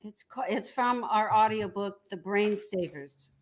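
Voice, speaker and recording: A woman's voice: mid-range voice, unhurried, slight background noise. A woman speaking as a guest in a conversational interview. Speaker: guest, entrepreneur and author.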